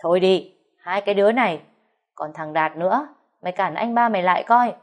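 Woman doing a gruff, commanding father-in-law. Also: lowish voice, measured pace, a little mellow, close to an everyday voice. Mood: shouting. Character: stern older father-in-law, barking orders.

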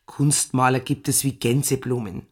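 An actress playing a stately middle-aged farm landlady in a German radio play, speaking in a measured, formal, earnest way. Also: very deep voice, steady tone, darkish tone, husky. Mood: earnest, reflective.

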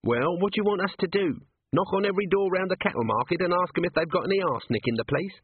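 A man's voice: sits high, expressive, fast, dry recording, voice pushed up. A man voicing a scruffy, sly young city watchman with whiny, working-class slang, on a dry, tinny recording. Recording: dry husky phone-like audio.

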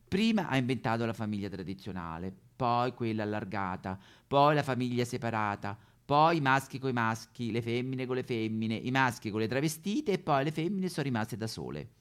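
A man's voice: medium pitch, lightly acted. A man playing an aggrieved, neglected female Madonna statue in a satirical stage monologue, plaintive and melodramatic with a Roman accent. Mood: resentful, sardonic. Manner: melodramatic, plaintive, sudden swoops into wailing. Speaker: comic actor.

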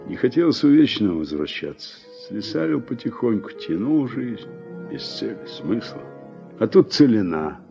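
Tired old-school farm veteran, low voice, regretful, old radio drama with music behind. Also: male voice, sing-song, moderate pace, creaky, warm tone, highs cut off. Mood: wistful, hurt. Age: middle-aged. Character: weary, regretful older war-veteran farm worker. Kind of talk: Soviet radio drama acting.

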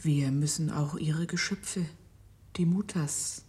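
A cunning enslaved woman schemes in a science fiction radio play. Her voice is low and mellow-dark, often slipping into breath. She speaks with cool contempt and deliberate, conspiratorial care. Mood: resolute, conspiratorial. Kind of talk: 1970s German radio drama acting.

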